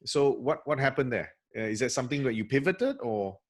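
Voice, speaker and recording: A man from Malaysia hosting a podcast interview over a video call, in a friendly, measured way. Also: medium pitch, lively intonation. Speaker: podcast host.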